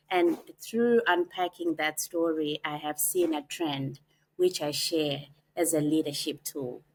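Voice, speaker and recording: A woman, a podcast guest telling her life story in a reflective, unhurried way with frequent pauses. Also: low voice, slight background noise, low-quality recording. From Zimbabwe. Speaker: guest, author and executive coach. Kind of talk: podcast interview, personal storytelling.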